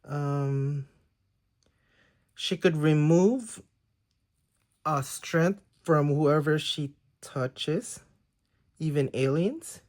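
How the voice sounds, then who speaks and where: A man's voice: fairly high pitch, very slow, pauses often, a little mellow. A man whose first language is Tagalog talks casually through a pile of trading cards, often unsure of the details. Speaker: trading card collector. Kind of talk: casual show-and-tell of a card haul.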